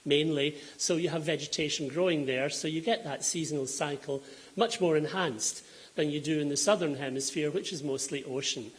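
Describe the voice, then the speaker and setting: A man's voice: fairly high pitch, low-quality recording. A man with a Scottish accent shaped by Donegal roots, giving a public lecture on climate to an audience, explaining slides with ums and self-corrections. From Scotland. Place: lecture hall before an audience.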